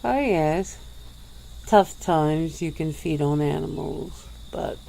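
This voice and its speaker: A woman voicing a centuries-old female vampire who speaks slowly and softly, with breathy little laughs, in an ASMR roleplay. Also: deep voice, slight background noise, heavily acted, poor audio. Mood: teasing, languid. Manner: slow, soft-spoken, with breathy little laughs.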